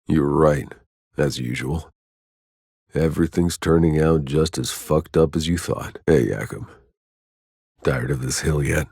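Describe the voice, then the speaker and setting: An actor voicing a jaded, sardonic, hardened soldier in a video game, with a rough voice and a wry, terse, world-weary delivery. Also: male voice, rumbling low, unhurried, long pauses, a little mellow, no room sound, studio quality, exaggerated voice. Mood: somber, subdued. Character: jaded, sardonic male soldier, hardened survivor of alien wilds. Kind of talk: video game character voice lines.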